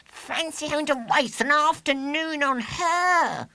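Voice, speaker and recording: A man voicing an eager, bossy eleven-year-old schoolboy who leads a gang, in an excitable children's audiobook style. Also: very high voice, unhurried, creaky, dry recording, pitched above the performer's own voice, full character voice, low-quality recording. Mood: scornful sympathy.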